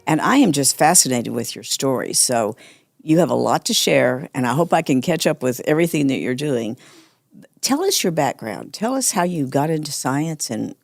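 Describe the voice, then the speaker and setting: A woman's voice: very deep voice, sing-song, moderate pace, gravelly, dry recording, clean studio sound. A woman hosting a podcast, welcoming and prompting her guest with short encouraging replies. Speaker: podcast host.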